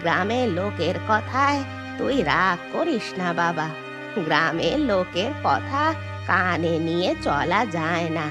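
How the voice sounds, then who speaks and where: A woman voicing a gentle old village granny in a cartoon, speaking in a plaintive, coaxing manner. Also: fairly low pitch, animated, unhurried, music in the background. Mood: pleading, gentle. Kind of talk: cartoon voice acting.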